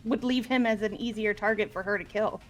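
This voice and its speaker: A woman's voice: mid-range voice, steady tone, brisk, soft background music. A woman talking casually as she reasons through a game mystery with friends. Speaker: video game player discussing a mystery with friends. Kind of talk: casual group chat.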